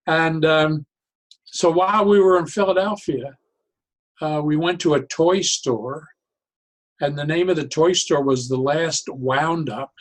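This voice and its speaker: Older man telling stories, reflective and halting. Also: fairly high pitch, low-quality recording. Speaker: interview guest, professional speaker and psychologist. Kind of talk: conversational storytelling.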